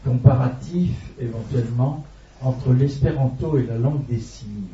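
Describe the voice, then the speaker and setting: A man in the audience asking a question hesitantly, pausing often with fillers. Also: mid-range voice, mellow, a little hiss or hum. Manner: hesitant, halting with many fillers. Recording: distant, roomy and dull.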